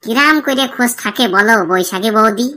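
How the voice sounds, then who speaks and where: A man's voice: extremely high pitch, brisk, pushed and bright, a little hiss or hum, exaggerated voice. Man voicing a bawdy, grumbling young village bachelor. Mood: boastful, bawdy. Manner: colloquial, complaining, pleading. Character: Futo, bawdy young bachelor, pleading and evasive. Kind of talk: comic cartoon dialogue and muttered asides.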